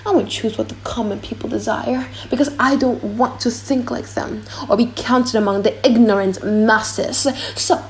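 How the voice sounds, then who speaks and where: A woman's voice: a little high, fairly even intonation, moderate pace, hardly any pauses, darkish tone, a little hiss or hum, lightly acted, rough recording. A woman voicing a vain, pompous prince in a dramatic audiobook, musing aloud theatrically. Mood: haughty musing. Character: vain, pompous foreign prince weighing his choice aloud.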